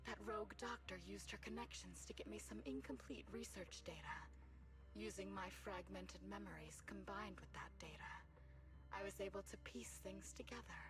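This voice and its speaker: A woman playing a brooding, obsessive wounded soldier villain in a video game, speaking in slow, deliberate, dramatic monologue. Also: mid-range voice, animated, lightly acted. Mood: solemn, fervent. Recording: music playing behind the voice.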